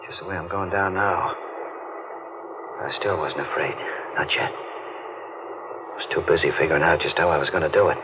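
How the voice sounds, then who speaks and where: An actor playing a brooding, guilt-haunted male diver in a 1940s radio mystery, with a tense, deliberate delivery. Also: low-pitched, natural voice. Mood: cold, brooding. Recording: noisy, narrow-band old radio recording.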